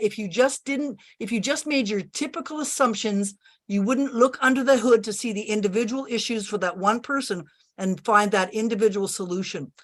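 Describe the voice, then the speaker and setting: A woman's voice: a little high, a bit bright. A woman who practices natural health, confidently giving folksy advice on a video call. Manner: confident, folksy, uses sayings.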